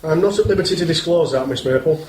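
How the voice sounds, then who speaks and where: A man's voice: a little high, quick pace, darkish tone, close to an everyday voice. A man playing a formal, unyielding police inspector in a radio play, speaking in a stiff official manner. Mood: stern, official. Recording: roomy echo, background noise.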